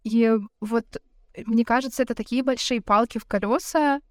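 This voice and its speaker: Young female co-host, thoughtful and a little hesitant, fairly high voice, dry sound. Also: normal speed, a little mellow, no room sound. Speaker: podcast co-host. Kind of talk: casual chat.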